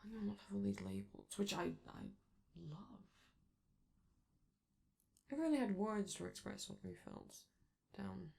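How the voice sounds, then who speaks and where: A woman plays a doting robot entertainer in an intimate ASMR roleplay. The delivery is unhurried and mellow, with a crackly, creaky edge. It is soft and tender, close to a whisper, with a touch of showbiz flourish. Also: medium pitch, huge pitch swings, heavily acted. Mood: tender, earnest. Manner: gentle, hesitant, theatrical endearments.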